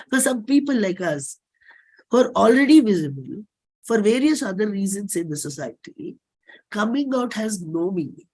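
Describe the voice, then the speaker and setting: A middle-aged performer with an Indian accent, giving a dramatic autobiographical reading in measured, deliberate phrases. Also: medium pitch, big pitch swings, darkish tone, breathy edge, low-quality recording. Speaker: singer and performer.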